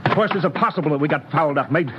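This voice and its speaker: A man's voice: a little high, very fast, heavy background noise, lightly acted. An actor playing a stern, commanding male spaceship captain who insists on logic, in a 1950s radio drama. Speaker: ship captain. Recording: dull old radio broadcast.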